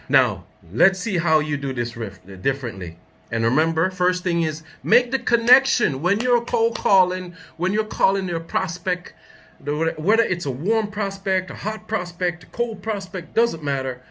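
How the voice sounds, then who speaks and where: A man's voice: on the high side, bright, forceful tone. A man coaching a trainee in sales, speaking emphatically and drilling his points home. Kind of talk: coaching role-play.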